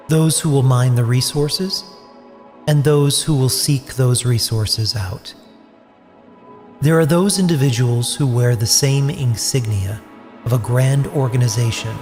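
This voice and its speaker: Guy doing solemn dramatic narration, mid-pitched voice, music clearly playing behind.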